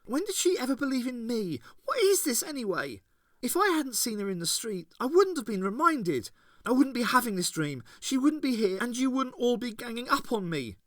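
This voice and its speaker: A man reading aloud and voicing a bitter, defiant teenage girl in a high, strained voice. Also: sing-song, normal speed, few pauses, no echo, clean studio sound. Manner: shouting, sulky, hurt.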